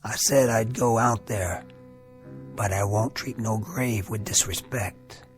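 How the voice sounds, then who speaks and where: A man's voice: lowish voice, fairly even intonation, slow pace. An American actor playing a proud, wary gunman with a folksy drawl in an old television western. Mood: stubborn. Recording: vintage TV soundtrack with music underneath.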